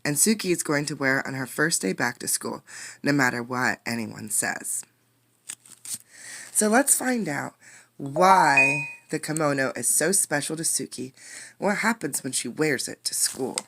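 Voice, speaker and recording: A woman's voice: low voice, lively intonation, a little slow, background noise. A woman reading a children's picture book aloud as a teacher, expressively, explaining words and putting questions to the listeners. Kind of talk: interactive children's read-aloud.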